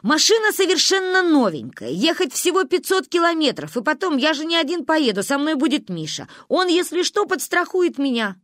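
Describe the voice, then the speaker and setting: A woman's voice: on the high side, quick pace, few pauses, dry recording, studio quality, close to an everyday voice. A woman voicing a heated, exasperated father in an audiobook family story. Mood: heated, defensive. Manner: heated, emphatic.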